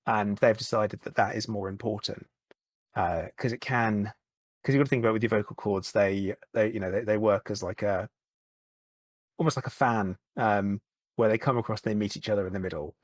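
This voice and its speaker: A man, a podcast guest, talking candidly in a halting way, often repeating words and starting over. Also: lowish voice, steady tone, no room sound. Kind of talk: candid conversational chat.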